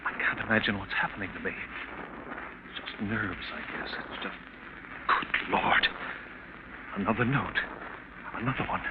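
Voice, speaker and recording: An actor playing a troubled, overworked plant official, nervous and agitated, in melodramatic 1940s radio style. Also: male voice, fairly low pitch, a little slow, long pauses, lightly acted. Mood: frightened. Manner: anxious, agitated. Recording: noisy, tinny old radio broadcast recording.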